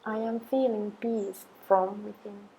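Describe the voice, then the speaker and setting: A woman guides an affirmation meditation in a mid-pitched voice that stays very even. She places each phrase slowly and deliberately, leaving long pauses. A faint layer of ambient noise sits behind her. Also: rough recording.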